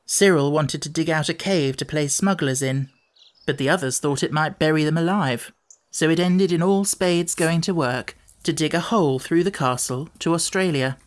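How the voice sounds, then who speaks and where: A man's voice: fairly high pitch, normal speed. Man narrating a children's storybook. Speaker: audiobook narrator.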